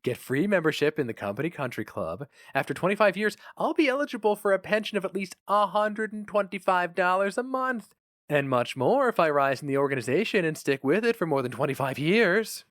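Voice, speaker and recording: A man's voice: high-pitched, sing-song, a little fast, a bit bright, no echo, studio quality, pitched above the performer's own voice. A man voicing an eager, stubborn young husband who speaks earnestly and insistently. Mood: excited, resolute.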